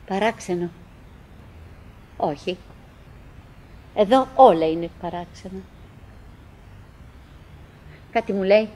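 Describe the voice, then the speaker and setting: An actress playing a middle-aged woman in a radio theatre monologue, wistful and doggedly cheerful, musing in halting, repetitive phrases. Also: mid-range voice, a little mellow, close to an everyday voice. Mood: hushed, pensive.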